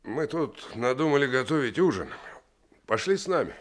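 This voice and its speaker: An actor in a Soviet radio drama playing a tough, protective adult drifter, with a husky voice and a commanding but gruffly kind manner. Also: male voice, mid-range voice, sing-song, moderate pace, natural voice.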